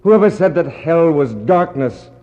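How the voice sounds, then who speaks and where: A man acting a despairing British naval captain in a 1960s radio play, declaiming in anguished, bitter soliloquy that swings between hushed prayer and outcry. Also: on the high side, expressive, measured pace, faint music behind. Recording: dull, noisy 1960s radio recording.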